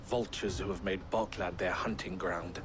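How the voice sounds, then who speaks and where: A man's voice: low-pitched, steady tone, normal speed, over music, natural voice. An actor playing a self-assured, protective and plain-spoken swordsman in a video game, assertive and articulate. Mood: grave, earnest.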